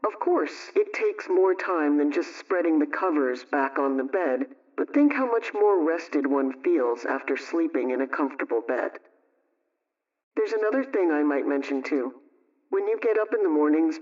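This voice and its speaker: Higher-voiced man on dull old radio. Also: a little mellow, distant mic. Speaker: household advice program host. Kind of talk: scripted old-time radio homemaking chat.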